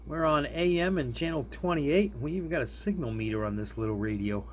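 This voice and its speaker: A man's voice: on the high side, a little fast. A man giving a casual hands-on gadget demo, informal and often chuckling as he thinks aloud, recorded indoors with background noise. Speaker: product reviewer. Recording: clearly noisy room recording.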